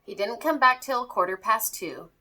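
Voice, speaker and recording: A woman reading a rhyming children's story aloud in an animated, theatrical way. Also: fairly high pitch, moderate pace. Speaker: teacher reading a picture book aloud to children.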